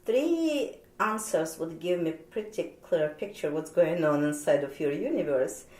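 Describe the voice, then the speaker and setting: A woman's voice: low-pitched, animated, a little slow, a little mellow, far from the mic. Playful woman. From Ukraine. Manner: frank and playful, laughs often. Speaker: guest naturopath. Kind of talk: casual interview chat. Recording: echoey room, set back from mic.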